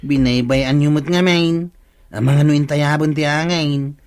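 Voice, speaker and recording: A plain-spoken village hall aide with an Ilocano accent, in a radio comedy. His voice sits a little high for a man, and he scolds in a matter-of-fact way. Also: fairly even intonation, measured pace, a little mellow. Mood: chiding. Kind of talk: broadcast radio comedy drama acting.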